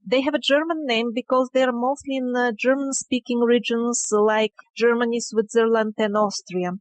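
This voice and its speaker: High-pitched woman explaining in an interview. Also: little pitch movement, normal speed. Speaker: interview guest, independent researcher. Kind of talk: conversational interview, explaining field findings.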